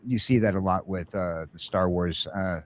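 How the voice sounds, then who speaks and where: A middle-aged man hosting a casual geek chat, rambling and haltingly repeating his words as he goes. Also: deep voice, fairly even intonation. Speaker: podcast host.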